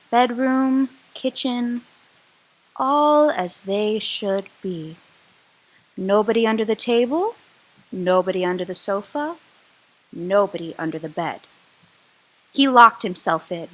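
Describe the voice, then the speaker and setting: A woman narrating a radio drama in a measured, suspenseful storytelling way. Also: on the high side, a bit bright, low-quality recording.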